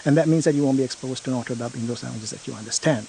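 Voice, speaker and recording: A man's voice: medium pitch, very fast, vocal fry, dark tone, slight background noise. A man in an interview explaining a product feature step by step, often checking in with "right?".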